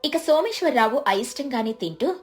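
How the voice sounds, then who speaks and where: Casting: female narrator for moral tales, high voice, faint music underneath. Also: animated, fairly quick. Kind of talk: scripted moral story narration.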